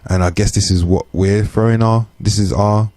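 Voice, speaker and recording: A British man speaking as a guest in a conversational radio interview, earnest and measured. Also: lowish voice, fairly even intonation, mellow, no room sound. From UK, born to Caribbean parents. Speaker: guest, community organisation chair.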